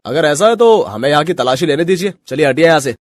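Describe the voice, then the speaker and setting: A man playing a stern, commanding food inspector who accuses people, in an animated moral story. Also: a little high, fast talker, a little hiss or hum, close to an everyday voice.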